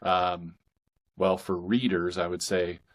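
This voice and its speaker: A man's voice: low voice, flat delivery, slow. A man talking casually as an author guest in a podcast interview.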